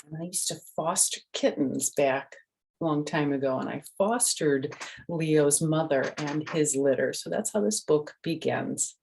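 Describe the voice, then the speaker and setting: An older woman reading a picture book aloud to children, gently explaining with chatty asides and questions to the listener. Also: sits low, a little slow, gravelly, a little hiss or hum. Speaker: storyteller and host.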